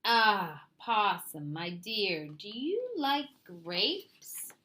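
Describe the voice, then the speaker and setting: Woman voicing a vain, animated sly fox. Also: mid-range voice, drawn-out words, a little hiss or hum, exaggerated voice. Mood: smooth, persuasive. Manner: pompous, showy, cutting others off, condescending. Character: sly, vain, bossy male fox schemer. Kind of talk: animated storybook read-aloud.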